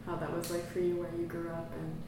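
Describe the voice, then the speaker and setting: A woman's voice: fairly low pitch, monotone, quick pace, a crowd in the background. A woman interviewer asking curious, friendly questions. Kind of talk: casual interview. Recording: distant, very echoey, noisy room.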